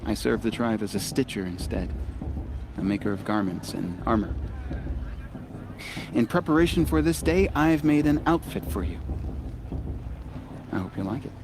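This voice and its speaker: Young game villager, lowish voice, modest, polite, earnest, noisy background. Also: male voice, normal speed, creaky, long pauses. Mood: shy, hopeful. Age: young adult. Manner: polite, soft-mannered, earnest. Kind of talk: naturalistic video game acting. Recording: outdoor ambience noise behind.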